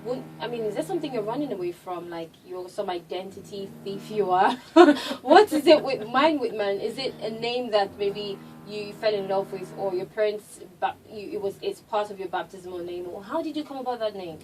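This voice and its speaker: A woman hosting a radio interview, chatty and quick to laugh. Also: medium pitch, a little mellow. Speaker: radio show host. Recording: distant mic with noise.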